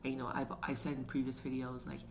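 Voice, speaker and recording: A woman's voice: extremely low pitch, little pitch movement, quick pace. A woman hosting her own book review channel, talking casually and chattily with lots of "like" and "you know". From Canada. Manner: chatty, filler-heavy with frequent "like" and "you know". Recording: noticeable background noise.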